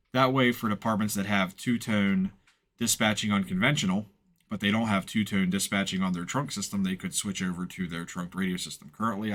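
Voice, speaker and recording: A man talks through an unscripted step-by-step software tutorial in an informal, matter-of-fact, explanatory way. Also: lowish voice. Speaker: instructor, software tutorial presenter.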